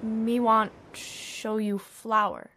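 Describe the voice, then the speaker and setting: An actress playing a simple, gentle, childlike worker who talks in halting broken speech in a radio drama. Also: female voice, a little high, flat delivery, full character voice. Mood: timid, innocent. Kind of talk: radio horror drama acting.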